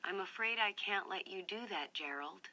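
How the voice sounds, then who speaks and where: A woman acting as a calm, unyielding cartoon robot. The delivery is slow, measured and without emotion, at a middling pitch. The clip has clear noise. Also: natural voice. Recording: noisy, quiet game cutscene clip.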